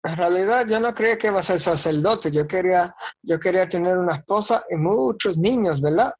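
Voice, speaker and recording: A priest in his thirties tells his story in an interview, acting out the voices of the people he quotes and slipping in jokes. His voice sits high and has a husky edge. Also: brisk. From Bronx, New York. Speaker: diocesan priest. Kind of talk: interview, personal faith story.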